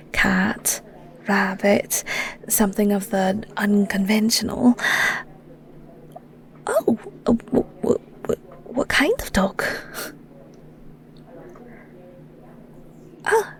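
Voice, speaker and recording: A woman with a Scottish accent playing a shy, flustered young werewolf shepherd in an intimate audio roleplay, stammering awkwardly in a soft, breathy voice. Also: mid-range voice, heavily acted. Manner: stammering, giggly, awkward, hesitant.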